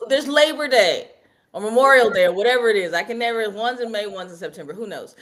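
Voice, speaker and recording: A woman's voice: on the high side, expressive, fast, fairly bright tone. A woman co-hosting a podcast, chatting casually and rambling with many restarts. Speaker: podcast co-host. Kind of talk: casual chat.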